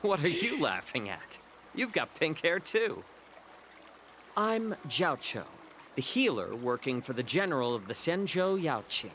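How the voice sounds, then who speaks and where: A man's voice: on the high side, very animated, a little slow, a bit bright, a little hiss or hum. A man playing a suave, sly fox-man healer in a video game, courteous and wry. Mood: miffed. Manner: smooth-mannered, wry, courteous.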